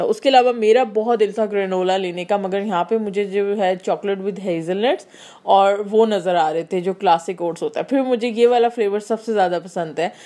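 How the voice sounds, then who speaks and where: A woman's voice: medium pitch, mellow. A woman from Pakistan with a Karachi Urdu accent, chatting casually through a grocery haul voice-over and explaining each item with many fillers. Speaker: vlogger.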